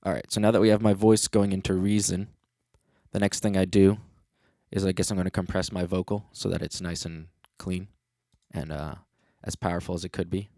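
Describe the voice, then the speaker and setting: A man's voice: deep voice, little pitch movement, brisk, warm tone, dry recording. A man hosting a casual livestream tutorial as a music producer, talking in a laid-back, slangy way into a studio microphone.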